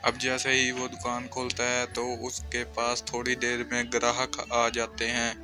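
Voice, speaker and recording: Male storyteller for scripted cartoon narration, mid-pitched, extremely flat intonation, music behind. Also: measured pace.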